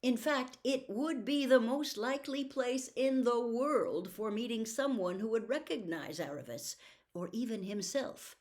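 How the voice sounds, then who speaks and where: A woman's voice: a little high, animated, unhurried, darkish tone. Woman reading a classic story expressively. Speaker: audiobook reader.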